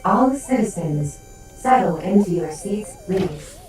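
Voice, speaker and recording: A woman's voice: mid-range voice, sing-song, takes it slowly, a little mellow. A woman playing a polite spaceship announcer giving scripted public address instructions in an audio drama. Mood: polite, instructive. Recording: very echoey, distant announcement over music.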